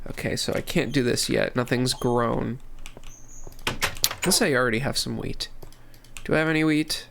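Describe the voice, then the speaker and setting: A man's voice: medium pitch, moderate pace, vocal fry, noisy background. A young man in his twenties, congested from a cold, talking casually over a game and rambling with many restarts. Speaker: gaming channel host. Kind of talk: casual commentary while playing.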